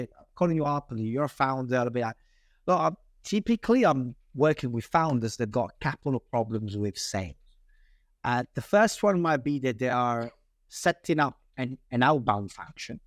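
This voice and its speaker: A man with an Italian accent talks through cold-calling tactics in a casual interview. He is animated and quick, often restarting his sentences as he goes. His voice is mid-pitched and sits dry and close to the mic. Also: no room sound. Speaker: sales guest.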